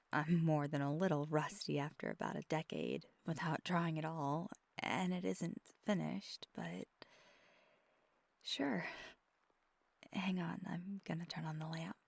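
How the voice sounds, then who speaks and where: Woman as vampire girlfriend, ASMR roleplay, whispered, tender and playful, unhurried, close and dry sound. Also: fairly low pitch, fairly even intonation, dry recording, exaggerated voice. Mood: shy, gentle. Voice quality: breathy, mostly whispered. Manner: slow, gentle, teasing, hesitant pauses.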